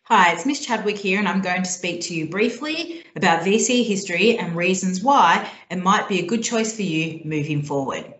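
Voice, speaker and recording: A woman teacher with an Australian accent giving a steady, clear talk to students about a school subject. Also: fairly low pitch, normal speed, hardly any pauses. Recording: echoey room.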